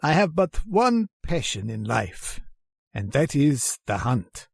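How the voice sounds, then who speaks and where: Courtly, menacing general with creaky, swooping voice. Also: male voice, medium pitch, big pitch swings, slow pace, no echo, clean studio sound, exaggerated voice. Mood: sinister relish. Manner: courtly, formal, theatrical. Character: genteel, menacing aristocratic male general, obsessed hunter. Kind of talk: old-time radio drama acting.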